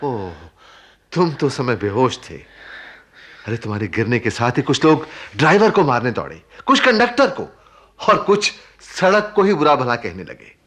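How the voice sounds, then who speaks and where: A man's voice: mid-range voice, sing-song, normal speed, fairly bright tone. An actor in a radio play voicing a concerned, philosophical man who soothes and explains while caring for an injured stranger. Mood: reflective, earnest. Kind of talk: Hindi radio play acting.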